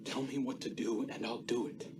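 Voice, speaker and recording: A man's voice: medium pitch, steady tone, normal speed, far from the mic, noisy background, lightly acted. An actor playing an earnest, tender, remorseful young male warrior in a dramatic video game scene. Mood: pleading, remorseful. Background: loud music.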